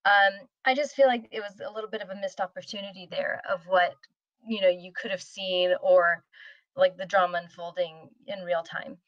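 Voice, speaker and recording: A woman's voice: medium pitch, fairly even intonation, moderate pace, dry recording. Woman giving informal feedback, dull dry audio. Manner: conversational, tentative, gently constructive. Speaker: participant giving script notes.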